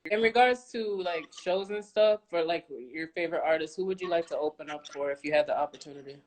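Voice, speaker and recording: A woman's voice: low voice, brisk, darkish tone. A woman hosting a casual chat interview, speaking informally with some slang. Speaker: host, interviewer.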